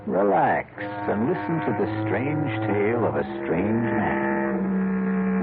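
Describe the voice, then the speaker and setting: An old lo-fi radio broadcast with music behind a low-voiced man hosting a suspense drama. His narration is theatrical and ominous, rising and falling expressively.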